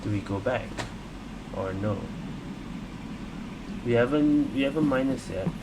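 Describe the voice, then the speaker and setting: A man's voice: mid-range voice, unhurried, noisy background. A man giving casual reaction commentary as a gaming streamer, hesitant and repeating words as he thinks aloud.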